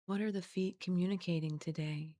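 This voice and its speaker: A woman guiding a body scan meditation in a gentle, soothing, unhurried way, leaving long pauses between scripted phrases. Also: on the low side, steady tone, no room sound. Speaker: guided meditation teacher.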